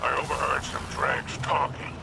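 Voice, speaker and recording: A man playing a haughty, shrewd male alien crime boss in a video game, gravelly and growling, with a clipped, imperious manner. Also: medium pitch, monotone, moderate pace, very noisy. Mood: grudging, shrewd. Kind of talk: video game mission dialogue. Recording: very loud music behind.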